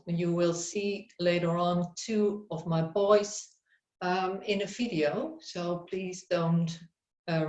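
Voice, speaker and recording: A middle-aged Dutch woman presents at a very slow pace, her lowish voice friendly as she pauses to find her English words. She comes through a video call that sounds roomy and slightly dull. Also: darkish tone. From Netherlands. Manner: unhurried, searching for words, friendly. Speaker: presenter, psychotherapist. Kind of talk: webinar presentation. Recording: roomy, dull webinar audio.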